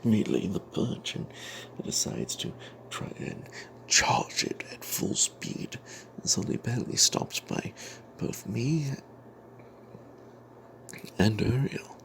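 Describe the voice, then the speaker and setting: A man telling an off-the-cuff fantasy game story in ASMR style, breathy and half-whispered, halting, with playful old-fashioned flourishes. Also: on the low side, sing-song, a bit bright, a little hiss or hum. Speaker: storyteller narrating a tabletop game tale.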